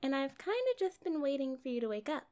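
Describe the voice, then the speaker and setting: High-pitched, bubbly young mermaid played by a woman. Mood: chatty, reassuring. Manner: playful, chatty, expressive, drawn-out phrasing. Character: kind, bubbly, naive young female mermaid. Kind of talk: ASMR roleplay addressed to the listener.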